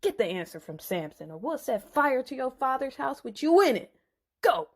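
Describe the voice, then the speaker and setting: A woman's voice: high voice, big pitch swings, normal speed, pitched above the performer's own voice, full character voice. A woman voicing scheming Philistine men in a Bible story dramatization, scornful and threatening. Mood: menacing. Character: scheming, sneering Philistine men, menacing wedding guests.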